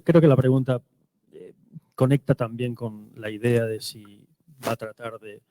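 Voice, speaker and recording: Man, mellow mid-pitched voice, journalist, spoken follow-up question. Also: dry recording, low-quality recording.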